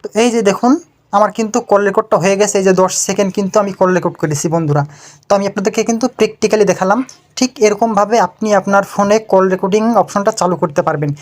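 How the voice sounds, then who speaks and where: A woman hosts a tech tutorial. She speaks rapidly at an average pitch for a woman. Her delivery is instructional, walking through each step with repeated phrasing. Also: darkish tone. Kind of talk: step-by-step screen tutorial narration.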